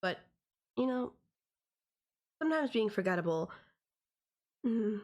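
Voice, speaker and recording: A woman's voice: on the high side, big pitch swings, takes it slowly, darkish tone. A woman playing a possessive, teasing young stalker in an audio roleplay, talking straight to the listener in a conversational, condescending yet affectionate way. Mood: smug, teasing.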